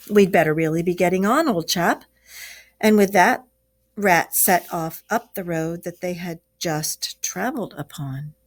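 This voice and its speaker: A woman teacher reading a story aloud to children and explaining it expressively, asking the class questions. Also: lowish voice. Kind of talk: read-aloud lesson for children.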